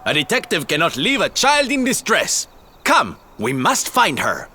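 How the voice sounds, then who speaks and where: An actor playing a flamboyant, self-important gentleman detective in a video game, grandiose and theatrical in delivery. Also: on the high side, wildly swooping pitch, measured pace, slight background noise, exaggerated voice. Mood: grave, dramatic. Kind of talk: scripted video game cutscene acting.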